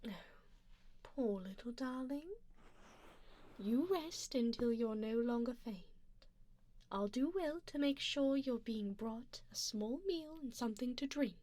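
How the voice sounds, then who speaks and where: Woman as a high, slow-spoken, soft vampire duchess. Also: lively intonation. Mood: gently commanding. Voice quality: soft, breathy whispered passages. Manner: genteel, measured, formal, gently commanding. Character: refined, doting, possessive female vampire duchess, playfully condescending. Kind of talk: ASMR roleplay, soft-spoken with whispering. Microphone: close binaural microphone, dry.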